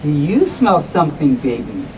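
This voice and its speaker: A woman voicing a proper, suspicious storybook mother bear in slow, deliberate answers, on a distant mic in a crowded room. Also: deep voice, very animated, very noisy, pitched below the performer's own voice. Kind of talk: storybook read-aloud.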